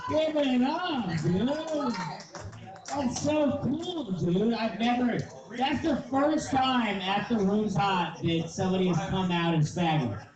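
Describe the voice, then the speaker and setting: A man's voice: extremely high pitch, slow, a crowd in the background. A man hosting a comedy show, introducing acts with loose, rambling banter and lots of "dude". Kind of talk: stand-up hosting. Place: comedy club stage. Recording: echoey, distant, dull-sounding.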